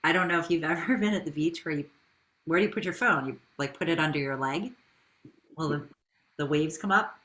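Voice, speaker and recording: A woman's voice: low-pitched, a little fast, fairly bright tone. A woman speaking as a business founder in an interview, telling her story in a conversational way with rhetorical questions.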